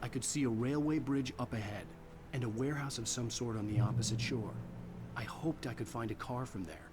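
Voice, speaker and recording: A man acting a haunted writer narrating his own dark thriller in a video game. His voice sits at an ordinary pitch, brooding and scripted, with clear noise behind it. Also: fairly quick, close to an everyday voice. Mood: brooding, tense.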